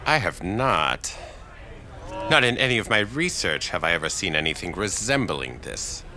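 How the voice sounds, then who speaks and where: An actor playing a grave, awed scientist with a deliberate, formal, dramatic manner in an old-style radio play. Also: male voice, deep voice, big pitch swings, bright, forceful tone, slight background noise, heavily acted.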